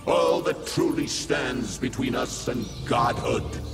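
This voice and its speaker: A man's voice: mid-range voice, animated, vocal fry. A man playing a menacing, zealous older villain, a father turned monstrous, with a growling, grandiose delivery in a dramatic video game. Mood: sinister, coaxing. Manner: grandiose, slow, preaching. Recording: loud music bed.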